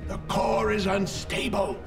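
A man voicing a menacing, commanding male robot warlord in a video game, with a gravelly snarl. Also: high-pitched, level tone, measured pace, heavily acted. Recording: echoey, distant, loud music behind.

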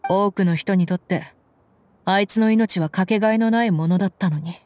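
A woman's voice: mid-range voice, brisk, darkish tone, slight background noise, natural voice. Woman acting a tough, blunt female mercenary. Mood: stern. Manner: blunt, commanding, tomboyish speech. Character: tough, cool-headed young woman mercenary. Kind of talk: Japanese game voice acting.

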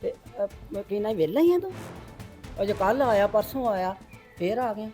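A woman's voice: mid-range voice, expressive, normal speed, faint music behind, natural voice. A woman playing a stubborn scolding mother-in-law. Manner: sharp, dismissive scolding. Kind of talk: homemade family drama skit.

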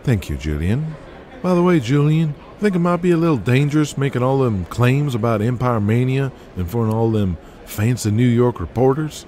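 An actor playing a shrewd, folksy wrestling business adviser in an audio drama, speaking persuasively with the odd hesitation. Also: male voice, medium pitch, lively intonation, moderate pace, dark tone, close to an everyday voice. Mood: deferential.

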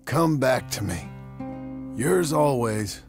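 An actor playing a gruff, earnest American outlaw turned rancher in a Western game, with a gravelly, plain-spoken drawl. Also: male voice, medium pitch, lively intonation, slow. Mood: earnest, tender. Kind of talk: cinematic video game acting. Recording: music behind the voice.

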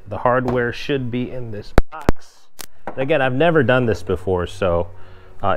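A man casually walking viewers through a step-by-step install in a garage workshop, talking straight to them. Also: mid-range voice. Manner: relaxed, explains step by step, addresses viewers directly. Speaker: kayak fishing vlogger. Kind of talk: casual hands-on tutorial.

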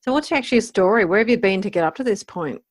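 A woman's voice: mid-range voice, lively intonation, rapid-fire. A woman hosting a podcast, asking casual interview questions.

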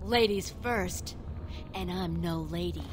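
Cocky, low-voiced female crime boss. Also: slow, heavy background noise. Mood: scornful, taunting. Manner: taunting, commanding. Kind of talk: dramatic video game acting. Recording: loud game music over the voice.

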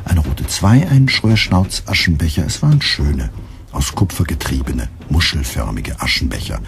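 A man narrating a literary radio drama in a measured, deadpan manner. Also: very deep voice, noisy background, exaggerated voice. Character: deadpan male narrator of a satirical story.

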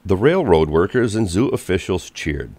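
A man narrating a children's storybook from a script in an expressive, clearly paced manner. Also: low-pitched, moderate pace, dry recording.

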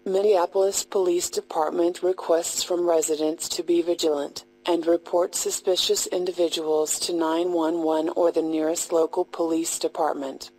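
A woman reading a scripted emergency announcement in a measured, impersonal, formal way. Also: fairly low pitch, little pitch movement, mellow, rough recording.

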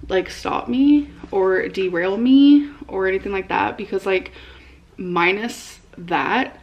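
A woman's voice: medium pitch, lo-fi sound. A young adult American woman vlogging in a casual, slangy way, talking to camera at home. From United States. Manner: informal, slangy, frequent "like". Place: indoor living room at home.

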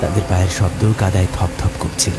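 A man's voice: deep voice, flat delivery, fairly quick, heavy background noise. A man narrating a scripted horror story in a dramatic, suspenseful manner.

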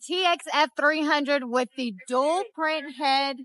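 A woman in her thirties hosting a vlog at a trade expo, giving an upbeat product demo pitch and repeating phrases for emphasis, filmed on a phone at arm's length. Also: takes it slowly, a bit bright, no room sound, clean studio sound. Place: busy indoor trade expo floor. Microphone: phone held at arm's length.

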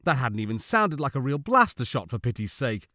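A man's voice: medium pitch, very animated, a little fast, pushed and bright, no echo. Male audiobook narrator, middle register, suspenseful and dramatic, recorded dry.

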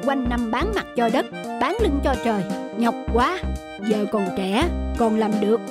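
Woman voicing a high-pitched grumbling peasant man. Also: expressive, unhurried, loud music behind the voice. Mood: weary, discontented. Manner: complaining. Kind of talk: cartoon voice acting.

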